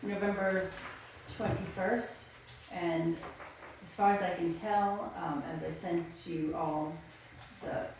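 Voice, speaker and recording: A soft-spoken woman at a town planning meeting, speaking hesitantly with many ums. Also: lowish voice, steady tone, takes it slowly. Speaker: planning commission member. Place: echoey town office meeting room. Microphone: distant room mic. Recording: echoey room from far off, background noise.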